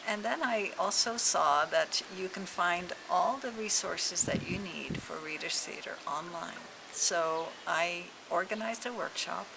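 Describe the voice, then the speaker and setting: A woman giving a measured interview explanation as an English language educator. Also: on the low side. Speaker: English language fellow, teacher trainer. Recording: noisy on-location interview with clear background ambience.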